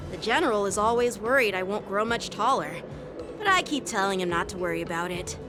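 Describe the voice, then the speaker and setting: A woman's voice: medium pitch, fairly quick, natural voice. A woman voicing an eager, chatty teenage boy swordsman in a game. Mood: cheerful. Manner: bright and chatty, animated. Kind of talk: game voice acting. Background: game soundtrack music.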